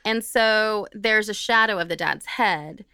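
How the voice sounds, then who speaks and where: Bright-voiced woman telling a story casually. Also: medium pitch, unhurried, smooth, no echo. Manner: animated retelling, acts out quoted remarks. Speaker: podcast co-host.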